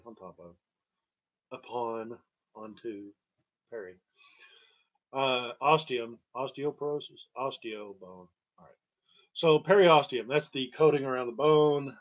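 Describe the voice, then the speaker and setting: Rambling wry man, muffled lo-fi sound. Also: medium pitch. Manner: halting, digressive, wry, chuckling at grim details. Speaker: storyteller and host. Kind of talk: rambling personal monologue about own medical history.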